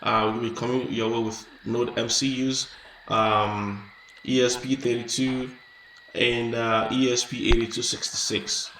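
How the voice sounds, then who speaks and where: A man's voice: mid-range voice, level tone, unhurried, a bit bright. A man hosting a casual hands-on electronics tutorial, explaining step by step with frequent restarts and fillers. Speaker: electronics channel host. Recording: echoey room, mic at a distance, noisy.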